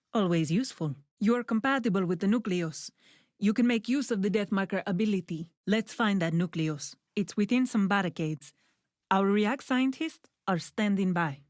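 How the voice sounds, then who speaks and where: A woman acts as a composed scientist and tactical guide in a video game, her voice at a middle pitch. She gives instructions in a measured, businesslike way, focused yet encouraging. The sound is close and dry, as from a studio. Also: dry recording, close to an everyday voice. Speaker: video game character giving mission instructions. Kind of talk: scripted video game voice lines.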